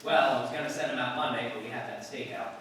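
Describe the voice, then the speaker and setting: Deadpan police detective played by a man, far-off echoey hall. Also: mid-range voice, fast, lightly acted. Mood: dry. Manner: deadpan, clipped, terse delivery. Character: male police detective sergeant, dry, laconic, matter-of-fact. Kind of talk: 1950s radio police drama acting, scripted narration. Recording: distant, echoey hall with long reverb, hiss.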